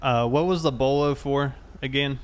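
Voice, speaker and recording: A man's voice: medium pitch, fairly even intonation, moderate pace. Casual American man streaming, faint noise behind. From South Carolina, United States. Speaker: live streamer roleplaying a police officer. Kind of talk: casual livestream chat with police radio roleplay. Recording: clear background noise, vehicle sounds.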